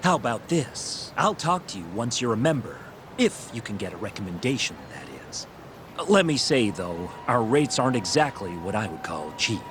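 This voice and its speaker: A brusque club doorman from a video game, brushing people off. His pitch moves around a lot as he talks down to visitors. A faint traffic rumble sits behind the voice. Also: sing-song, moderate pace. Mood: curt, dismissive. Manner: curt, dismissive. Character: brusque, dismissive club doorman guarding exclusive hostess club. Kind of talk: English video game cutscene acting.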